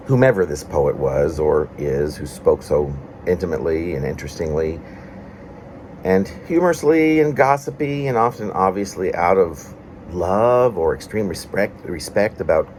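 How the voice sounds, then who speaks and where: A man with a very low voice reads his own introspective piece. His phrasing wanders and halts, breaking into short fragments. A faint, steady hum sits behind him. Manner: meandering, halting phrasing with pauses. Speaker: poet reading own work. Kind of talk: reflective reading of a personal essay-poem.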